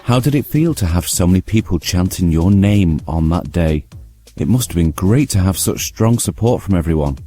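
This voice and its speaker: A man hosting a radio show, reading interview questions in a measured, even way. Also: low voice. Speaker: radio host. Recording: music bed underneath. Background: music.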